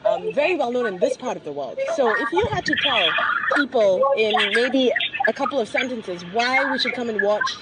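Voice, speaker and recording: Higher-voiced woman interviewer, very noisy recording. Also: lively intonation, moderate pace. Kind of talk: casual phone interview.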